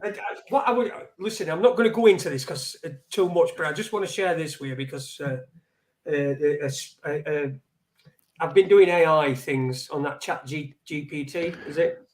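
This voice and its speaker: A man with a high-pitched voice, co-hosting a live stream. He is blunt and halting, stumbling through fillers and swearing freely as he chats. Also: roomy sound. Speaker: co-host of a live online talk show. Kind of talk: casual live-stream chat.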